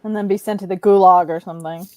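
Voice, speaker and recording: A woman's voice: lowish voice, fairly even intonation, quick pace, warm tone, no echo. A middle-aged woman chatting casually in an informal book discussion. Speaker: participant in an online book discussion. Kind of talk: casual chat.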